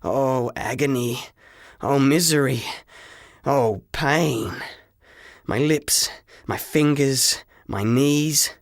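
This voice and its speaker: A man's voice: medium pitch, very slow, creaky, no echo, studio quality. A man voicing an anguished, lovestruck boy in a storybook, with melodramatic, emotional delivery. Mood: agonised wailing. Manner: melodramatic, often whispering. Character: anguished, lovestruck red-haired boy, dramatic and remorseful.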